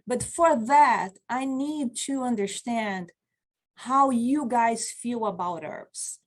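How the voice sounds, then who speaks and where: A woman in her forties, not a native English speaker, teaching an online class as a medicine practitioner, earnest and encouraging, speaking over a webcam from a small office. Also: on the high side. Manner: slow, earnest, encouraging, reads out chat replies. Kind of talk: online continuing-education lecture. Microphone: video call webcam.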